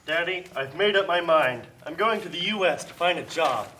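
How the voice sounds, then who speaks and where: A man acting as a resolute young son in a puppet show. The voice is highish and bright, earnest, and a little off the mic. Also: animated, moderate pace, distant mic, a little hiss or hum. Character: determined young son leaving a poor plantation family.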